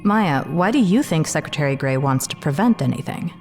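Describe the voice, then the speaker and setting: A woman's voice: sits low, animated, brisk, a little mellow, faint music behind. An actress playing a curious, fair-minded woman questioning others in an audio drama, direct in manner.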